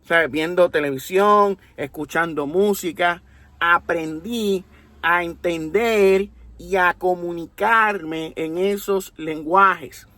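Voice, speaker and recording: Puerto Rican male commentator, deliberate teacherly delivery, unhurried pace. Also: high voice, sharp tone, dry recording. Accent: Puerto Rican Spanish. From Puerto Rico. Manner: deliberate, teacherly, with rhetorical tags. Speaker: religious commentator. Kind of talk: explanatory monologue.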